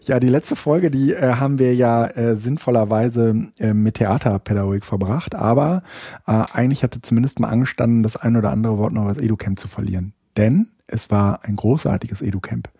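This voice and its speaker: Man, podcast co-host, husky voice, mellow tone, lots of ums and restarts, dry close sound. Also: medium pitch, brisk, no room sound. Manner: hesitant, many fillers and restarts; rambling, thinks aloud. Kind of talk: casual chat.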